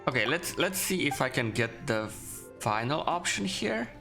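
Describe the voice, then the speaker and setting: A man's voice: mid-range voice, big pitch swings, moderate pace, gravelly, music in the background. A man giving casual commentary as he plays through a video game. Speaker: gaming streamer.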